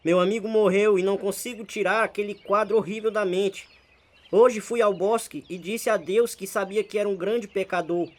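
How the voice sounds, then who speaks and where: A man's voice: high voice, lightly acted. A man acting as a humble, troubled hitchhiker in a radio drama, polite and earnest. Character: humble, troubled male hitchhiker seeking salvation.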